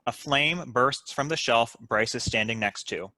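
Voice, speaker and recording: Bright-voiced man reading stage directions. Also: mid-range voice, measured pace, dry recording. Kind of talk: scripted narration.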